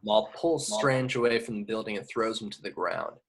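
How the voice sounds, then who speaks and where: A man's voice: on the low side, normal speed, vocal fry, slightly rough. A man narrating scripted action directions in an amateur table read of a film. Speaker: narrator reading stage directions.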